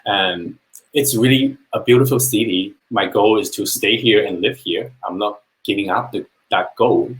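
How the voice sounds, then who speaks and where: Earnest man from China, lower voice. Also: normal speed, poor audio. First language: Chinese. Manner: earnest, plain-spoken storytelling with small restarts. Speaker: business owner sharing his story. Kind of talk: personal testimonial on a live webinar.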